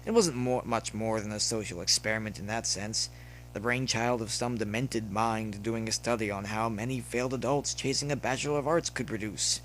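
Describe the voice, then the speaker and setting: A man with a fairly low voice narrates prose fiction. He reads dramatically and in measured phrases, leaving deliberate pauses. A faint noisy hum sits behind the recording. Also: steady tone, fairly bright tone. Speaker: audiobook-style narrator of fan fiction. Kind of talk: scripted story narration, dramatic reading. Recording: noticeable background noise with hum.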